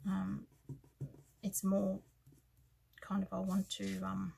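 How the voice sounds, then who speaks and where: An Australian woman with a scratchy, croaky voice, chatting softly through her planner layout and trailing off into quiet asides. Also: mid-range voice, monotone, takes it slowly. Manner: soft-spoken, musing, trails off into quiet asides. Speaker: planner vlogger. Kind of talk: casual planner walkthrough.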